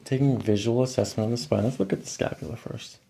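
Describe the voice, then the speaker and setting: A man's voice: medium pitch, fast, vocal fry, dark tone, slight background noise. A man in his thirties speaking as a chiropractor, methodically questioning a patient and explaining each step of an exam. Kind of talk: clinical patient consultation and exam commentary. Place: small carpeted chiropractic clinic room and hallway.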